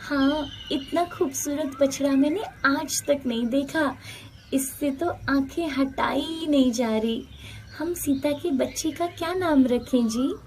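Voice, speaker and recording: Devout, anxious farmer's wife for a cartoon fable, played by a woman: unhurried, imploring, sincere. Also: high-pitched, darkish tone, slight background noise. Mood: adoring. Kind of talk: animated moral story dialogue.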